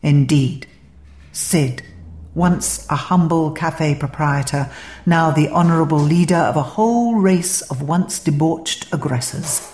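A woman narrator voicing an eccentric, breezy male alien adventurer in a story, brisk, playful and given to lecturing. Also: low voice, darkish tone, roomy sound. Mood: earnest, proud.

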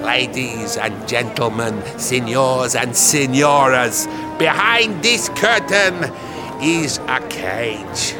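A man's voice: animated, takes it slowly. An actor playing a boastful male freak-show ringmaster who barks out his acts in a grand, theatrical manner. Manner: booming, showy barker. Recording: loud crowd and music behind.

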